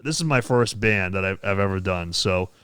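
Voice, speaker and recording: Chatty, smooth-voiced man hosting a podcast. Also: deep voice, normal speed, fairly bright tone, no echo. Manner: rambling, laughs often, many restarts. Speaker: podcast host and metal vocalist. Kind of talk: casual podcast chat.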